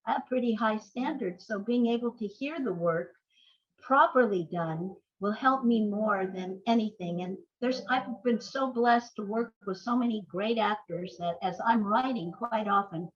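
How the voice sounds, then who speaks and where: A woman's voice: medium pitch, unhurried. Older woman playwright on a dull recording. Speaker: playwright being interviewed. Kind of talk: conversational interview answers.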